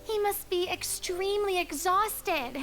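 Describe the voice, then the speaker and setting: A woman's voice: extremely high pitch, a little slow, a bit bright, soft background music. A woman playing a bubbly, theatrical, chatty young adventurer in an anime game. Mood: breezy.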